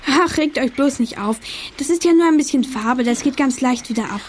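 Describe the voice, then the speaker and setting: A woman's voice: high-pitched, brisk, close to an everyday voice. A woman playing a cocky, carefree young boy in a children's radio play. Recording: faintly noisy old cassette.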